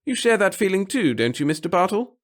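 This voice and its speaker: A man's voice: high voice, big pitch swings, a little fast, pitched above the performer's own voice. A man voicing a servile, fussy little clerk who babbles in flowery, pompous phrases, as a character in a sci-fi audiobook. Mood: grandiose, proud.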